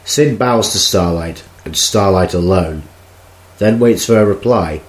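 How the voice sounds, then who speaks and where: A man narrating an amateur audio drama in a plain, even storytelling manner. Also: low voice, measured pace, echoey room. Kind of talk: scripted narration.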